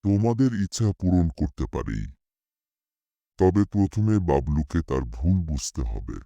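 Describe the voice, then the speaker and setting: Man voicing a very deep, dark cartoon genie. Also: very animated, a little slow, gravelly, no echo, dull audio, full character voice. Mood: stern warning. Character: stern magical genie granting wishes with conditions. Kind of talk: children's cartoon voice acting.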